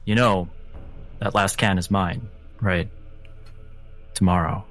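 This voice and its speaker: A man's voice: sits low, fairly even intonation, slow pace, faint music behind. A man playing a menacing, smug lord who speaks in a pointed, taunting way. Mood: cold taunting.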